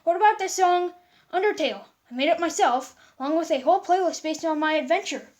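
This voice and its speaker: A kind young girl, earnest and protective of her friends, voiced by a woman. Her voice is high, in a storybook read-aloud. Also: expressive, a little fast, darkish tone.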